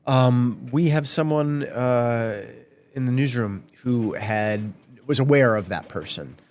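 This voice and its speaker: A man's voice: mid-range voice, slow pace, a little mellow. A man, a news reporter explaining his story hesitantly in a studio chat. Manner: hesitant, many fillers and restarts. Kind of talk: unscripted studio conversation.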